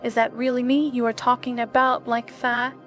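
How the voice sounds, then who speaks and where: A woman's voice: on the high side, level tone, normal speed, a bit bright, close to an everyday voice. A woman playing a vulnerable, wounded young test subject in an audio story. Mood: hurt. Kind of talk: scripted audio story dialogue. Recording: music playing behind the voice.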